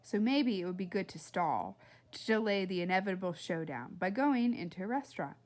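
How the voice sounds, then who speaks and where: A woman narrates a children's story with a very lively, swinging pitch in a middle register. She reads expressively, like a teacher, and drops into chatty asides to her listeners. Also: brisk. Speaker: teacher reading a children's book aloud. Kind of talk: animated read-aloud narration.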